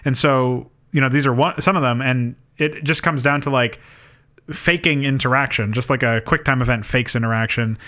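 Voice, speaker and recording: A man's voice: medium pitch, fairly even intonation, normal speed, pushed and bright. A man co-hosting a podcast, talking through his points in a casual, analytical way with loose, explanatory phrasing. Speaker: podcast co-host.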